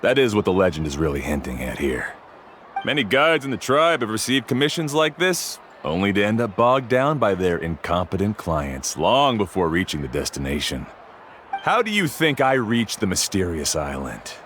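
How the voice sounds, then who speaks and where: An actor playing a proud, aloof cave guide who talks down to clients in a video game. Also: male voice, on the low side, very animated, moderate pace, a bit bright, slight background noise. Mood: scornful. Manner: condescending, dismissive. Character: arrogant, aloof star cave guide, adult man.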